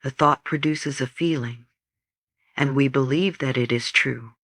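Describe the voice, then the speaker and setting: A man reads a guided sleep meditation at a slow, spacious pace. His delivery is soothing and gentle, giving soft instructions in a mid-pitched voice. The sound is dry, with little room around it. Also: dry recording.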